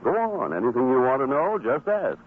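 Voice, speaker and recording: A man's voice: medium pitch, big pitch swings, a little fast, natural voice. An actor playing a kind, patient fisherman father in old radio drama style. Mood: reassuring, gentle. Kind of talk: 1940s radio drama acting. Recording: muffled, faintly noisy old radio broadcast.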